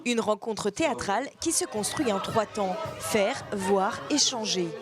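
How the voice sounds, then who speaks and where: A woman reporter reading a scripted news voice-over in a clear, even manner. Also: mid-range voice, unhurried. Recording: voice-over with music and crowd noise.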